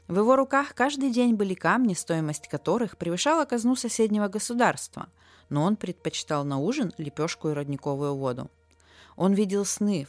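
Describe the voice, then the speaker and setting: A woman with a slightly low voice narrates a fairy tale over music. Her reading is measured and expressive, in the manner of a storybook told aloud. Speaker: storyteller, podcast host.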